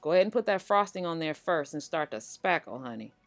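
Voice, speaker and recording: A woman's voice: low-pitched, expressive, brisk, soft background music. A middle-aged woman hosting a cooking channel, talking viewers through a bake in a chatty, reassuring voice-over. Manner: chatty, reassuring, speaks to viewers as "you guys". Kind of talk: relaxed voice-over baking tutorial.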